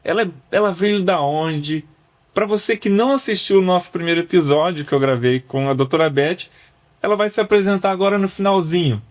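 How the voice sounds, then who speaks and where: A man's voice: sits high, brisk. A man, hosting a podcast interview, asking questions and summing up with friendly tags. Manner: friendly, prompting, sums up with filler tags. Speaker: podcast host.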